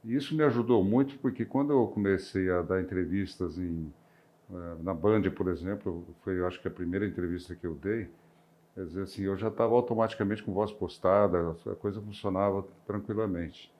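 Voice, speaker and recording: A man's voice: a little fast, warm tone. An older retired male physician with a rural São Paulo interior accent, recalling his career with many hesitations and restarts. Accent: rural São Paulo interior, near Minas Gerais. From interior of São Paulo state, Brazil. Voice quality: deep voice. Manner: hesitant, with frequent restarts and filler sounds. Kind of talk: reflective personal recollection.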